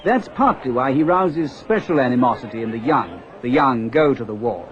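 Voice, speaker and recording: A man's voice: mid-range voice, animated, normal speed. A man delivering a scripted news report as a reporter. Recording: dull archive news report sound.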